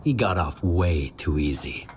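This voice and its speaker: An actor playing a curt, hard-bitten mercenary in a video game, terse and unimpressed. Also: male voice, low-pitched, expressive, unhurried, a little hiss or hum. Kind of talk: video game dialogue acting.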